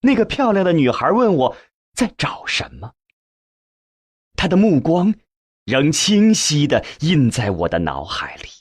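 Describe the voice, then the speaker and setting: Male narrator, slow, slightly husky, scripted novel reading. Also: a little high, wildly swooping pitch, no echo. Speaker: audiobook narrator. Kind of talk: first-person scripted narration of a science fiction novel, voicing characters.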